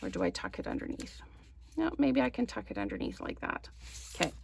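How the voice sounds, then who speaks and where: A woman hosting a craft tutorial, slightly hoarse, chatting in a casual, apologetic way and thinking aloud with frequent ums. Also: extremely low pitch, huge pitch swings, brisk. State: slightly hoarse, losing her voice. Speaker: crafting channel host.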